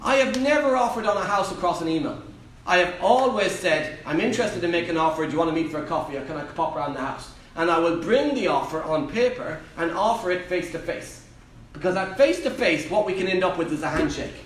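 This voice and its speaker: A man's voice: on the high side, animated, fast. An Irish man answering questions at a live property seminar, emphatic and repeating his key phrases. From Ireland. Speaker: property trainer on a Q&A panel. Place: live event room. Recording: echoey room, distant mic, faint noise.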